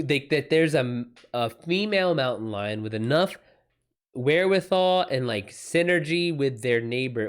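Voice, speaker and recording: A man's voice: mid-range voice, clear, resonant tone. A man talking casually as a livestream host, informal and slangy, swearing now and then.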